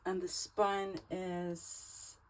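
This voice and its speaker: A woman's voice: fairly low pitch, level tone, drawn-out words, poor audio. A Swedish woman with a Swedish accent, her native tongue Swedish, hosting a casual craft tutorial and chatting with hesitant fillers as she works. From Sweden. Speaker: crafting channel host.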